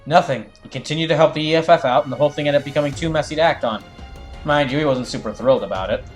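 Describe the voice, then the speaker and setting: A man's voice: a little high, racing, faint music behind. A man hosting a livestream, chatting casually with viewers and explaining things in a rambling way, often restarting his sentences. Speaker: streamer and host of a live fandub. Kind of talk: casual livestream commentary, chatting with viewers and reading game dialogue.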